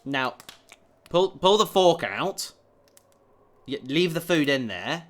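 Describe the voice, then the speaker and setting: A man's voice: a little high, sing-song, slow pace. A man playing an earnest, determined human spacefarer in a science fiction audio drama, sincere and slightly awkward. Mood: patient, gentle.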